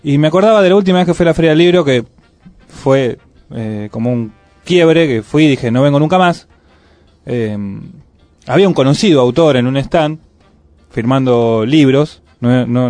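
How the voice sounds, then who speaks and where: Chatty Argentine radio host, dry studio. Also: male voice, mid-range voice, a little mellow, no room sound. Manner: rambling, anecdotal, with many fillers. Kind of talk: casual radio chat.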